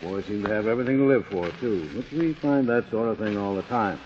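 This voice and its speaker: A man's voice: lowish voice, a little fast, a little mellow, lightly acted. A man playing a blunt police official. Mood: brisk. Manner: blunt, businesslike. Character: brisk, matter-of-fact police official. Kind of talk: 1940s radio acting. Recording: muffled old radio broadcast with hiss.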